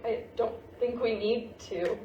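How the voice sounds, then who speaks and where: A woman acting a grieving seventeen-year-old daughter in a staged play reading, earnest and gently prodding. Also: on the high side, unhurried, muffled recording, natural voice. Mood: matter-of-fact. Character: Ivy, grieving seventeen-year-old half-Japanese American girl, practical daughter. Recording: roomy, distant mic, faint noise.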